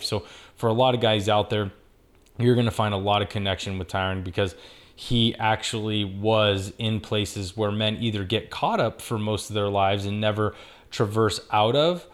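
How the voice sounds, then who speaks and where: A male podcast host earnestly reading an introduction of his guest. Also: fairly low pitch.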